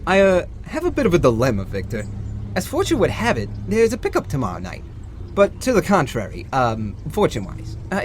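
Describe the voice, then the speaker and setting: Man playing a wildly animated, jittery young bootlegger. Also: high-pitched, slight background noise. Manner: wordy, theatrical, rambling, hesitant. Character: jittery, florid, eccentric young male cat bootlegger.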